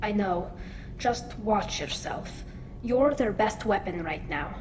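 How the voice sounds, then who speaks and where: An actress playing a loyal, guarded female alien engineer in a video game, speaking in a soft, earnest, measured way. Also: animated. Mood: wary. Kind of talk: video game character acting. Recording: game audio over clear engine rumble.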